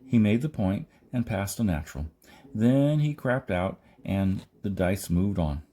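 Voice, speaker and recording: Male audiobook narrator for science fiction, husky and animated in pitch, slightly halting read. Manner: halting, stumbles and rereads phrases. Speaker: audiobook reader.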